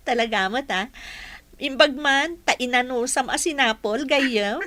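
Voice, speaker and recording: Gertrude, high bright modest caregiver. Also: female voice, takes it slowly, no echo, heavily acted. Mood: pleased, surprised. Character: modest, warm-hearted young female caregiver, Gertrude. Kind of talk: radio drama acting.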